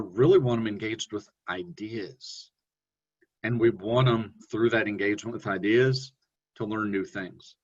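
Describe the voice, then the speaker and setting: A man presenting a webinar on online teaching, talking in a relaxed, conversational way with fillers and self-corrections. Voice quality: deep voice. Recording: dull lo-fi webinar audio.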